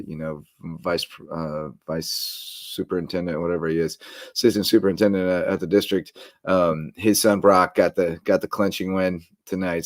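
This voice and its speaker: A hoarse man co-hosting a local sports podcast in a casual, rambling way. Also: low voice, dry recording, clean studio sound. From South Carolina. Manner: rambling, filler-heavy. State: hoarse, tired voice. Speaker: podcast co-host.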